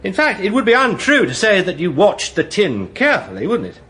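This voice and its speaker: A cocksure British defence barrister in a radio drama. He is slightly husky, with theatrical swings of pitch and a sly, insistent manner. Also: wildly swooping pitch, a little fast, pushed and bright, exaggerated voice. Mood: cross-examining.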